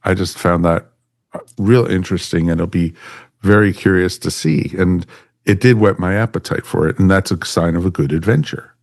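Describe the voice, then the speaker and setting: A man in a casual podcast panel discussion, speaking as a reviewer in a halting way and rephrasing mid-sentence. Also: low-pitched, steady tone, no room sound, studio quality.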